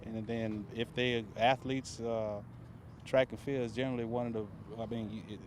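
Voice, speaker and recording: A man's voice: medium pitch, flat delivery, normal speed, a little mellow, a little hiss or hum. A man who is head coach of a youth track club, answering interview questions outdoors at a meet, plainspoken and brief.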